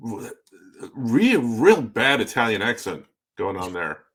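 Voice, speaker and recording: A man's voice: medium pitch, sing-song, takes it slowly, fairly bright tone, slightly rough. A man co-hosting a casual podcast, riffing with loose, jokey remarks and many filler words. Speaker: podcast co-host.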